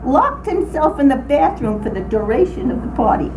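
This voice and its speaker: Older woman storyteller, higher voice, noisy room. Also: sing-song, normal speed. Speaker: writer reading her own account to a writing group. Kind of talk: reading a humorous personal essay aloud. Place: indoor group meeting room. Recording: noisy room recording, background ambience.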